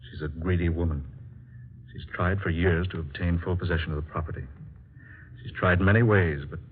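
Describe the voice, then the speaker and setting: A man's voice: deep voice, steady tone, fairly quick, lightly acted. An American man playing a brooding, desperate scientist accused of madness, in formal, melodramatic 1940s radio drama style. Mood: grave, confiding. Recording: dull lo-fi vintage radio, music.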